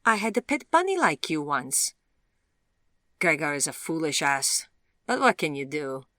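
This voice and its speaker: Glamorous foreign woman, voiced by a woman, haughty, blunt, accented, lifted pitch, dry close sound. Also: very animated, measured pace, bright, forceful tone, no room sound, full character voice.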